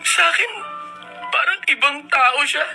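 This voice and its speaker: A man's voice: extremely high pitch, unhurried, exaggerated voice, low-quality recording. A man playing a hurt, resentful college-age younger brother in an emotional radio drama, with plaintive delivery. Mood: anguished, pleading. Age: young adult. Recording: radio drama broadcast under loud music. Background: music.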